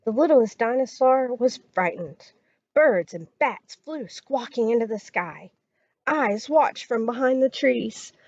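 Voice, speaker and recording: A woman reading a children's story aloud as a storytime reader, expressive and dramatic. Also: fairly high pitch, measured pace, no echo, dull audio.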